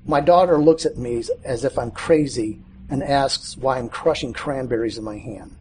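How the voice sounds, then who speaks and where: A middle-aged man reading his own personal story aloud in a measured way, now and then stumbling over a word. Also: mid-range voice, darkish tone, a little hiss or hum.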